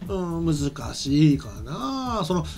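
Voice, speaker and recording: A man's voice: a little high, big pitch swings, slow, a little mellow. A middle-aged man giving casual commentary as a talk show host, hesitant and thinking aloud, close to a desk microphone. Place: small indoor streaming studio. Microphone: desk microphone on a stand.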